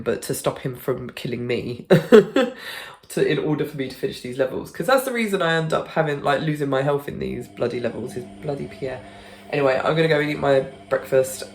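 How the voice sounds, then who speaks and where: A woman's voice: very deep voice, gravelly, slight background noise. A British woman chatting casually in a vlog, rambling and swearing freely, sounding a bit congested. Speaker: gaming vlogger.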